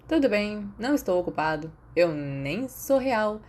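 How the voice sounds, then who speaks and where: A woman's voice: mid-range voice, very animated, a little slow, darkish tone. A Brazilian woman voicing a cheeky, blunt teenage boy, a teasing imaginary friend in an audiobook. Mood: casual. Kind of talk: audiobook character dialogue.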